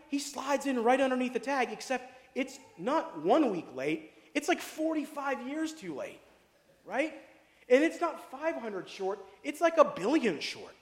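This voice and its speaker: A pastor preaching a casual sermon, chatty and jokey, full of asides and questions to the congregation. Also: male voice, extremely high pitch. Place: church sanctuary. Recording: echoey hall with long reverb.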